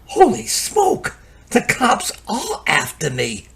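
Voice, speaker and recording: A man playing a harried, excitable computer repairman in a radio play, halting and exclamatory, with folksy turns of phrase. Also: high-pitched, gravelly, slightly rough, heavily acted. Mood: panicked.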